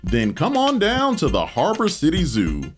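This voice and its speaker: A man's voice: on the high side, wildly swooping pitch, a little slow. A man playing a grandiose TV zoo commercial announcer in a parody ad, salesy and showy. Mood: showy, enthusiastic. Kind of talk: parody TV commercial in audio drama. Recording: music behind.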